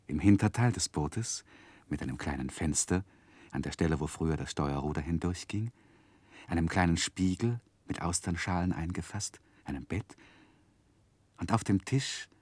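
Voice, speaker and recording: A male narrator with a deep voice tells a literary story in a 1960s radio play. His delivery is measured and expressive. The recording is dry. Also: slightly rough, no echo.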